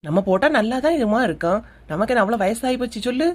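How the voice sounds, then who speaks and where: A woman voicing a bold, practical younger woman in a cartoon comedy, brisk and persuasive, with a Kanyakumari Tamil accent. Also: a little high, expressive.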